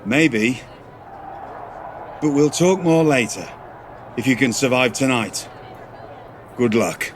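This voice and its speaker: An actor playing an older male general manager in a video game, speaking formally and in measured phrases. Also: mid-range voice, lively intonation, a little hiss or hum.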